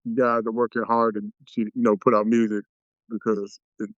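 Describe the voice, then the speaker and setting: A man hosting a podcast interview, supportive and halting, with many fillers and restarts. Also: on the low side, level tone, dry recording. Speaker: podcast host. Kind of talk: casual interview chat. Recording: dull, dry close-mic audio.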